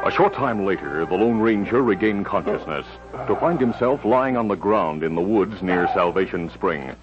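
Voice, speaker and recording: A man's voice: deep voice, lively intonation, normal speed. A man narrating a 1950s radio western in a dramatic, scripted storytelling style. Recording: dull old radio recording with music. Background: loud dramatic music.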